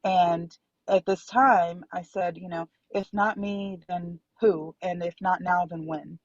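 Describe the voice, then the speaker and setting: A woman's voice: fairly low pitch, fairly even intonation, slow, no echo. Woman on a dull dry call line. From Dallas, Texas. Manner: earnest, many fillers. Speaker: city council candidate. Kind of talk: remote guest interview. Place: in her car.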